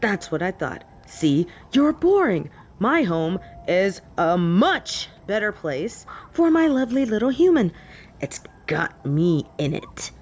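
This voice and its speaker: A woman playing a cocky, smitten female werewolf in an audio roleplay, teasing and flirting in a deadpan way. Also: medium pitch, slow pace. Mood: smug. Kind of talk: scripted audio roleplay acting.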